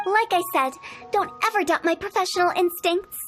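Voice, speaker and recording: Game role, eccentric young woman undertaker: very high voice, self-assured and playful, music underneath. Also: moderate pace. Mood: smug, playful. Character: quirky, confident young female funeral parlor director. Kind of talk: video game character dialogue. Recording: music playing behind the voice.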